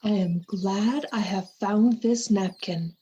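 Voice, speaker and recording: An actress playing a dutiful, wry wife, musing deliberately in Shakespearean verse for a radio play. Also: female voice, medium pitch, warm tone, muffled recording, a little hiss or hum, natural voice.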